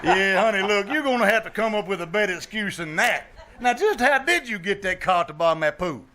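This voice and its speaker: A man's voice: sits high, expressive, fast talker, pushed and bright. A man playing an exasperated, sarcastic bandleader husband with a folksy, wisecracking manner in a 1940s-style radio sitcom. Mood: bluffing. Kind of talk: 1940s radio sitcom acting, live stage re-creation. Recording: live theater stage, faint noise.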